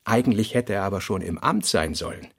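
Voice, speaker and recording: A man narrating a literary radio drama in a deliberate manner, with a gravelly voice. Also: lowish voice, dry recording, clean studio sound.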